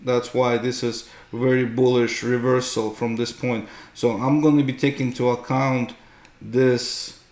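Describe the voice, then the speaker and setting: Slow, gravelly man explaining charts. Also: medium pitch, a little mellow. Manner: explanatory, often checks with "right?". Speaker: host, market analyst. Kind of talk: unscripted chart tutorial commentary. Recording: roomy, echoing room sound.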